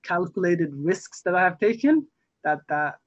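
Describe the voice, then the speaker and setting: A young man from Somalia, a newly elected council member, answering interview questions haltingly with many fillers and restarts. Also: sits high, very animated. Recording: muffled lo-fi audio.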